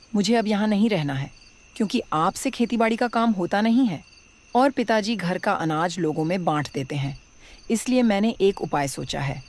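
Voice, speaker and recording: A woman playing a scheming, complaining young daughter-in-law in a cartoon story. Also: lowish voice. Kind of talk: Hindi cartoon moral story dialogue.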